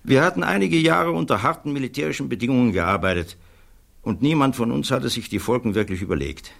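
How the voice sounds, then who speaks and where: An actor playing a thoughtful physicist giving measured, earnest testimony in a German radio play. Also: male voice, mid-range voice, animated, vocal fry, a bit bright. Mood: grave, troubled. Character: thoughtful male physicist witness, earnest, troubled by conscience.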